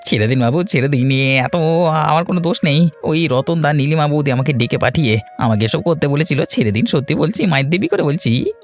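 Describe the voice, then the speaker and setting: A man's voice: on the high side, animated, brisk, soft background music. A man voicing a cowardly, pleading village henchman in a cartoon. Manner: pleading, repetitive. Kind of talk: Bengali cartoon voice acting.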